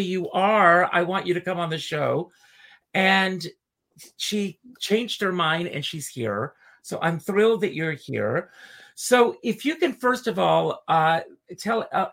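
A man's voice: sits high, creaky, fairly bright tone. A man hosting a talk show, enthusiastically introducing and welcoming his guest. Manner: effusive, enthusiastic. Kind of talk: casual interview chat.

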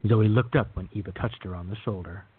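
A man's voice: on the low side, a little fast, slightly rough. A man reading scripted third-person narration for a radio drama. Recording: thin, phone-like band-limited audio.

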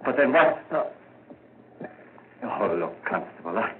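An actor playing a guilt-ridden, panicky man hiding a murder in melodramatic, halting 1940s radio horror style. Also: lowish voice, big pitch swings. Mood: nervous panic. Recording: scratchy, narrow-band old radio recording.

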